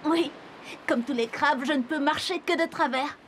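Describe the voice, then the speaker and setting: High-voiced, eager little cartoon animal played by a woman. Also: moderate pace, a little hiss or hum, lightly acted. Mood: proud, explaining. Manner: eager, explains simply and proudly. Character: friendly, proud, helpful young cartoon animal friend. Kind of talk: children's educational cartoon dialogue.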